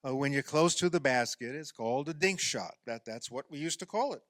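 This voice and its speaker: A man acts out a self-pitying amateur athlete in a radio drama. His delivery is sarcastic and exasperated, halting and then swinging widely in pitch. The sound is dry, with little room around it. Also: lively intonation, a bit bright, dry recording, close to an everyday voice. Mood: teasing, grudging. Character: self-pitying, sarcastic male amateur basketball player, sore loser. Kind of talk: radio play dialogue.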